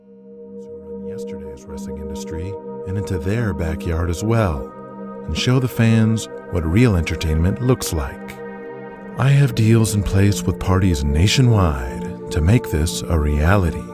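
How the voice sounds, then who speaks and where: An actor playing a smooth-talking, ambitious wrestling promoter making a grandiose, emphatic sales pitch in an audio drama. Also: male voice, wildly swooping pitch, a little slow, exaggerated voice. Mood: grandiose, persuasive. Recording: loud music under the voice.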